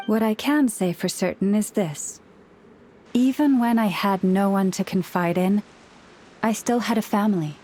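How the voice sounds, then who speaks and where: A woman playing a solemn, gentle moon priestess in a video game, soft-spoken and measured, with a quiet burden. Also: mid-range voice, a little hiss or hum, close to an everyday voice. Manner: measured, soft-spoken, breathy sighs and pauses.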